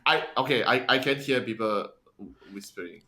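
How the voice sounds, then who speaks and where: A man's voice: medium pitch, moderate pace, pushed and bright. A man on a casual discussion panel, speaking tentatively and repeating his phrases.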